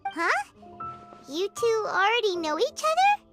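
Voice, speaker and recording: A woman voicing a tiny, excitable, easily offended childlike companion in an anime-style video game, with a squeaky, exclamatory delivery. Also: unhurried, full character voice. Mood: surprised. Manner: exclamatory, refers to self in third person. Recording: music behind the voice.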